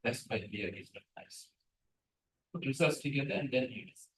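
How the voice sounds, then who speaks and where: A man's voice: mid-range voice, normal speed. Echoey lecturing man, native Tamil speaker. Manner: animated, asks the room questions. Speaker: guest lecturer. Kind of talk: lecture on scientific writing. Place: seminar room, hybrid session. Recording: echoey room from a distance.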